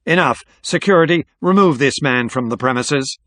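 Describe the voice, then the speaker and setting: A man voicing an angry, pompous mayor in an audiobook, loud and commanding. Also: medium pitch, moderate pace, no room sound, studio quality, pitched above the performer's own voice, natural voice. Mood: furious. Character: angry, blustering small-town male mayor in office.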